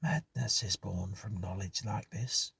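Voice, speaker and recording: A man voicing a shaken survivor who speaks in a hushed, solemn tone. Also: on the low side, measured pace, gravelly, slightly rough, no room sound, distant mic, voice pushed up, exaggerated voice. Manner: hushed, whispery.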